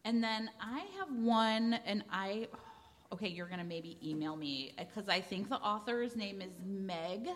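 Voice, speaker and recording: A woman podcast co-host casually recommending books at a live event, rambling and excitable as she thinks aloud. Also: medium pitch, a little slow. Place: large room with a live audience, indoors. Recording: echoey hall with long reverb.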